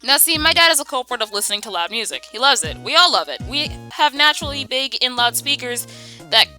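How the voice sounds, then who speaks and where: A woman's voice: sits high, lively intonation, brisk, sharp tone, soft background music. A young woman delivering a casual scripted rant in an exasperated, sassy and opinionated way. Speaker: video creator.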